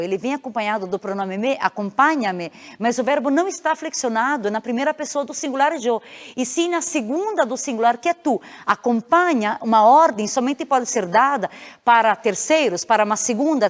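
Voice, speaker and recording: A Brazilian woman giving an explanatory language lesson, addressing students directly in a didactic way as she works through exam questions. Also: fairly high pitch. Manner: switches between Portuguese explanation and reading Spanish aloud. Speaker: Spanish teacher. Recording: long hollow reverberant hall echo.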